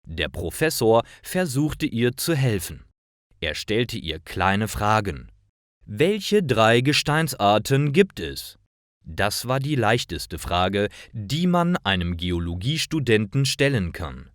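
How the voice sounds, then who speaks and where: A man narrating simple scripted stories for language learners, reading aloud in a deliberate, carefully articulated way. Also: lowish voice, big pitch swings, no room sound, studio quality.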